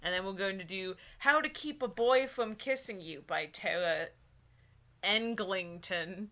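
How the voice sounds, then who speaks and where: A woman's voice: medium pitch, a little slow, pushed and bright. A woman chatting casually and giggly as a co-host, giving comic, mock-critical commentary. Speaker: co-host of a comedy video channel.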